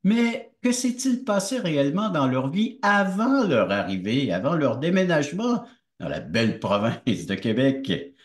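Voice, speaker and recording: Brief: male host, animated pitch, slightly high voice, roomy echo. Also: measured pace. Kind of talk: hosting an interview.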